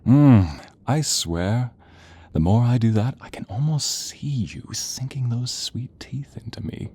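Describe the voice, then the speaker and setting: A man playing a sadistic, gloating monster hunter in an audio role play, with slow menace, breathy whispers and sharp commands. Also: medium pitch, animated. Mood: taunting, gloating. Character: sadistic male monster hunter, mad, theatrical, gloating villain.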